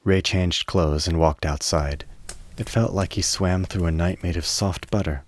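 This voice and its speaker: A man narrating a radio play with measured, literary dramatic storytelling. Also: low voice, fairly even intonation.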